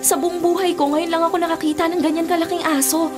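A woman's voice: very high-pitched, flat delivery, quick pace, over music. A woman playing an uneasy, watchful young provincial woman in a radio drama, earnest in delivery. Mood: anxious. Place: radio studio booth. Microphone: studio mic.

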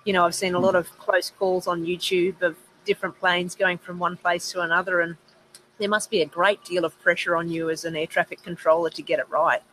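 A woman's voice: on the low side, little pitch movement, fairly quick, fairly bright tone, low-quality recording. A middle-aged Australian woman hosting a conversational interview over a video call from outdoors on an earphone mic. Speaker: interviewer, host. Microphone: wired earphone headset. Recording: clear background noise, outdoor ambience.

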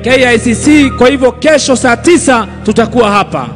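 A man with a Kenyan accent interpreting a preacher live at a stadium crusade, quickly echoing each phrase. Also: very high-pitched. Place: large outdoor stadium at night. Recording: music playing behind, stadium PA.

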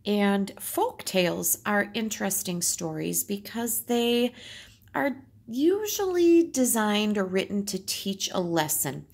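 A woman's voice: mid-range voice, big pitch swings, slow, creaky. A woman teacher reading aloud and explaining in simple phrases, as in a storytime lesson.

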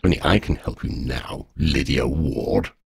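A man voicing a rumbling, gloating she-dragon. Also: unhurried, vocal fry, slightly rough, no echo, clean studio sound, full character voice. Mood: menacing. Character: huge scheming female dragon, smug and menacing.

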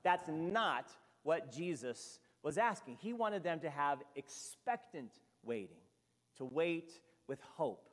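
Man, 50s, pastor, sermon, animated storytelling, high voice, reverberant church hall. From Hawaii. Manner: animated storytelling with sweeping gestures and asides. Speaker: senior pastor. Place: church sanctuary at a pulpit.

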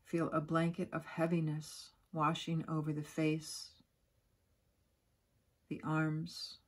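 A woman guiding a yoga nidra relaxation in a soft, breathy, soothing voice, giving gentle instructions with long pauses. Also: sits low. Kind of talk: guided relaxation meditation.

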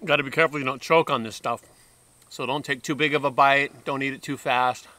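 A man's voice: medium pitch, steady tone, fairly quick, sharp tone, dry recording. A man in his fifties, chatting casually to the camera while eating snacks outdoors and reacting with lots of mm sounds and exclamations. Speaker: food vlogger. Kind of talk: casual food review to camera. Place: outdoors in a wooded park, seated at a bench.

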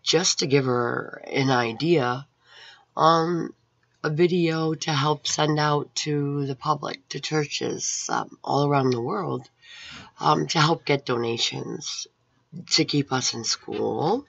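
A middle-aged woman with a raspy, hoarse voice, giving an earnest, rambling personal testimony into a webcam at home. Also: low-pitched, slow pace, no echo. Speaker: Bible college student. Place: room at home with painted scripture wall. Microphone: webcam at close range. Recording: dull lo-fi webcam audio.

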